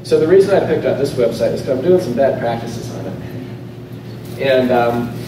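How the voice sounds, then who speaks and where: A man's voice: mid-range voice, normal speed. Man speaking in a very echoey hall. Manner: loose, conversational, restarts often. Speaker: conference speaker. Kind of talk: casual technical talk with live demo. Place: hotel conference room, in front of a projector screen. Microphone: lectern mic, recorded from a camera at the back of the room. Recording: very echoey room, voice from far off, clear noise.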